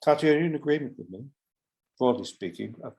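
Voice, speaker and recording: A man speaking as a panelist in an online discussion, measured and a little hesitant, with fillers between his points. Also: medium pitch, lively intonation, gravelly, darkish tone.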